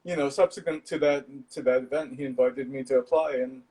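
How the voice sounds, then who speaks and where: A man from Indonesia, pitched in a middle range, talks steadily about how his company works. He explains one step at a time over a video call, pausing now and then on small fillers. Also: steady tone, mellow, a little hiss or hum, rough recording. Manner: measured, explanatory, some fillers. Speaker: startup founder. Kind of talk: guest explaining his business.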